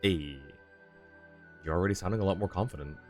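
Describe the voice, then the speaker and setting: A man's voice: low-pitched, fairly quick, darkish tone, faint music behind. A man livestreaming a video game with casual commentary, in a roomy-sounding recording. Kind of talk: casual commentary while playing.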